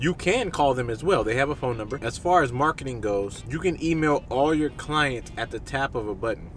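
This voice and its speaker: A man's voice: mid-range voice, a bit bright. A man in his thirties, a barber, gives direct, conversational business advice to camera from a parked car. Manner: direct, conversational, repeats points for emphasis. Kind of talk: casual advice vlog to camera.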